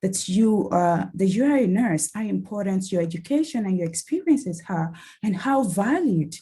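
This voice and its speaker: A young woman from Nigeria, a nurse researcher speaking with a soft Nigerian-tinged accent, giving an online talk with many ums and restarts in an earnest, encouraging way. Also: medium pitch, animated, moderate pace, slightly rough. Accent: Nigerian-tinged English. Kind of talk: online academic talk with slides.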